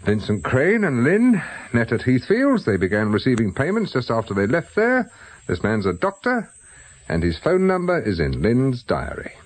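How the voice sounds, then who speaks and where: Radio drama sleuth: British, husky and expressive, probing, dry, stubborn and direct. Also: male voice, medium pitch, moderate pace. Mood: musing, determined. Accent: British English. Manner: probing, blunt questioning. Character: shrewd amateur sleuth, male, dryly stubborn, blunt.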